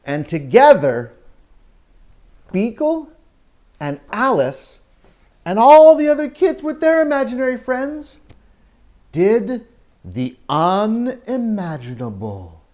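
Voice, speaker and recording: A man reads and talks to young children as a story-time teacher. His pitch moves up and down expressively, and his delivery is unhurried, with dramatic pauses. He keeps putting simple questions to his listeners. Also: very animated, a little mellow. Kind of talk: animated storybook reading and children's Bible talk.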